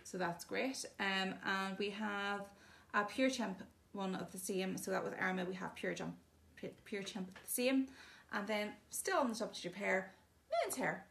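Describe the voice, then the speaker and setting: A woman's voice: mid-range voice, measured pace, gravelly, few pauses, a bit bright. A woman giving a chatty, casual demonstration of bathroom products, explaining each one plainly with frequent ums. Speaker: shop presenter showing products.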